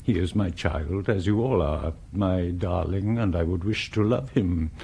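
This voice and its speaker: An actor playing a weary, ascetic old bishop in a radio drama, husky and portentous, full of disgust at mankind. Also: male voice, sits low, warm tone, a little hiss or hum. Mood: weary, solemn. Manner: slow, portentous, rhetorical.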